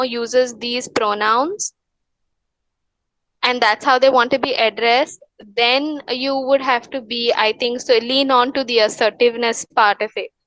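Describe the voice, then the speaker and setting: Indian woman counsellor, high dry voice. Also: no room sound, low-quality recording. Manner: calm advising, many fillers and restarts. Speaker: wellness counsellor. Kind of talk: webinar Q&A answers.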